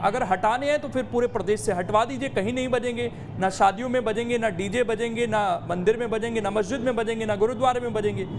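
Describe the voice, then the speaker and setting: A man hosting a live news debate, putting long, reasoned questions in a measured way. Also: extremely high pitch. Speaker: TV debate host. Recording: very noisy broadcast audio.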